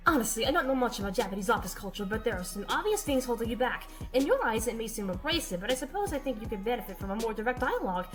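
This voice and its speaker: A woman plays an abrasive cartoon goose office lady, high and swooping in pitch. She is brash and pushy, full of office jargon, over clearly audible music. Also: very animated, racing, hardly any pauses. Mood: chatty, forthright. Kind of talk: animated comedy comic dub. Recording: music behind the voice.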